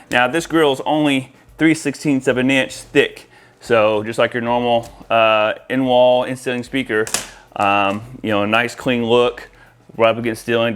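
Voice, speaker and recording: A man's voice: medium pitch, a bit bright. Casual man presenting a hands-on install. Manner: informal, addresses viewers as guys. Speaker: audio-video installer presenting to viewers. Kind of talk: casual product demo and install walkthrough.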